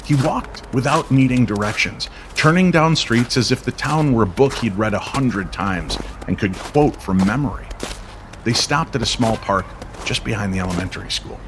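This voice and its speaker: A man's voice: mid-range voice, animated, moderate pace, noisy background. A man reading dramatic scripted narration for a story.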